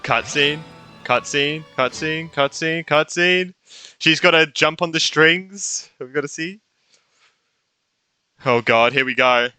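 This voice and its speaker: A young male streamer with a highish, very bright and sharp voice. He gives casual, excitable gameplay commentary full of slang, with faint game music underneath. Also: takes it slowly, pauses often, smooth, dry recording. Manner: excitable, slangy, reacting aloud. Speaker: gaming streamer. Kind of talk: casual let's play commentary.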